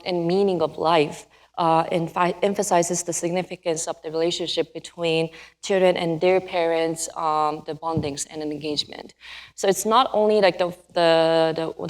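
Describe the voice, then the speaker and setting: A young woman from Japan, speaking English with a Japanese accent as a first language other than English, giving a casual conference talk full of fillers and halting phrasing. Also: lowish voice. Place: conference hall.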